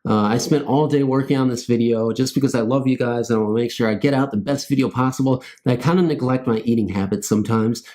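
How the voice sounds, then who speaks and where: A man's voice: mid-range voice, quick pace, hardly any pauses, a little mellow. A man hosting a channel, chatting casually to his viewers in a goofy, self-mocking, rambling way. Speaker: host of a scary story channel.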